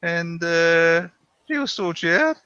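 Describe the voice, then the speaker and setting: Man putting on a gruff Australian sea captain. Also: a little high, lively intonation, slow pace, bright, forceful tone, no room sound, dull audio, voice pushed up, exaggerated voice. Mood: gruff, hearty.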